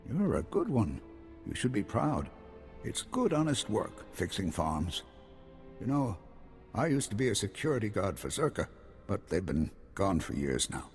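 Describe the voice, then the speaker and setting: An actor playing an anxious, proud old hermit farmer and former guard in a video game, hesitant and halting. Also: male voice, lowish voice, very animated. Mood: grateful, proud. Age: older. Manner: hesitant, halting, repeating himself. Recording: long hall reverb, music behind.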